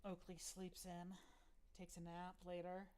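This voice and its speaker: A woman's voice: on the low side, completely flat delivery, a little slow, mellow, smooth. A woman running a tabletop game as game master, narrating casually and chatting with players.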